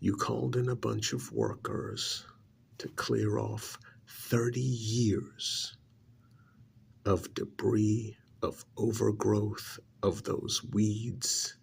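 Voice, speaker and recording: A man performs a rich, condescending older uncle in an ASMR roleplay. His voice is extremely husky and crackles with heavy fry. He speaks very slowly, letting each sarcastic, irritated phrase hang. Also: on the low side, animated, full character voice. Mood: stern disbelief. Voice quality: gravelly, husky, breathy. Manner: slow, condescending, drawn-out sarcasm with pauses.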